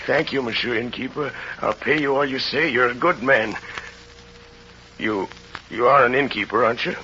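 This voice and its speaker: An actor in an old radio drama playing a desperate, bitter, hungry released convict who speaks in intense, halting, repeated phrases. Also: male voice, mid-range voice, bright, forceful tone, close to an everyday voice. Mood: stunned, disbelieving. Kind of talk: 1930s-40s radio drama acting. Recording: dull, noisy old radio recording.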